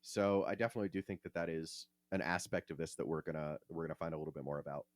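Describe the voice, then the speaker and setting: Low-voiced man hosting a podcast. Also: little pitch movement, quick pace, no room sound. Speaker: podcast host. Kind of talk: casual podcast discussion.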